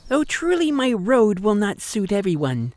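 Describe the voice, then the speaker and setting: An actress playing a mysterious wandering woman peddler who speaks in a measured, knowing way. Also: mid-range voice, very animated, a little mellow, natural voice. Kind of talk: 1940s style radio acting.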